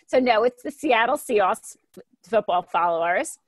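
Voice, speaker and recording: Higher dry-voiced woman podcast host. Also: expressive, normal speed, dry recording. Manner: chatty, self-correcting, stumbles over names. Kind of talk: podcast interview hosting.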